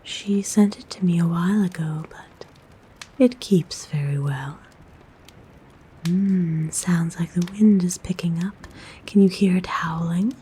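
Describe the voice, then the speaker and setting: A woman voicing a kind, gentle forest witch in a soft, breathy whisper, soothing and tender, for an ASMR roleplay. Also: on the low side, takes it slowly, a little hiss or hum, low-quality recording. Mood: reassuring.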